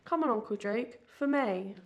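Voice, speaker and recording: Eager young daughter, fairly high acted by a woman. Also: animated, moderate pace, lo-fi sound, natural voice. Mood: persuasive. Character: Scarlett's eager, persuasive younger daughter Jessica. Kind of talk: audio drama acting.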